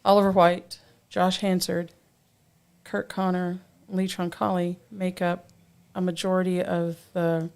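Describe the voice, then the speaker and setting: An older woman hosting a casual talk show, chatty and quick to laugh. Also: fairly low pitch, little pitch movement, gravelly, a little mellow. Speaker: host.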